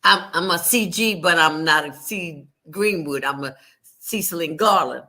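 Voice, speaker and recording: A woman's voice: fairly low pitch, a little slow, pushed and bright. A woman host with a Louisville, Kentucky accent, chatting in an affirming, conversational way during an interview.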